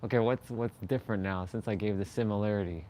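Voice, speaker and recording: A man's voice: lowish voice, fast talker, darkish tone. A Canadian man hosting a live stream and chatting casually, rambling and searching for words, with frequent laughs. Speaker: livestream host.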